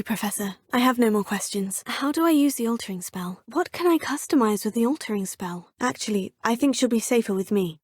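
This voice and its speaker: A woman's voice: fairly high pitch, slightly rough, no room sound, studio quality. A curious schoolgirl witch. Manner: polite, inquisitive and earnest, often murmuring to herself. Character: curious teenage girl wizarding student. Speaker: player character. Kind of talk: video game voice lines. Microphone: close studio mic.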